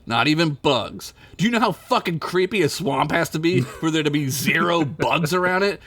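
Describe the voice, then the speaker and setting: A man's voice: fairly high pitch, animated, moderate pace, a bit bright, dry recording. Laughing young man narrating a crude tale. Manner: crude, self-amused, breaks into laughter. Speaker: storyteller. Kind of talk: improvised comedic story narration.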